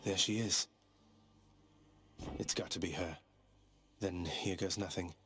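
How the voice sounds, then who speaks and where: An actor playing a defiant young underworld prince in a video game, speaking in a dry, wry, self-assured way. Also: male voice, low-pitched, fairly even intonation, slow pace, over music. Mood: wry, determined. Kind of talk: video game hero dialogue.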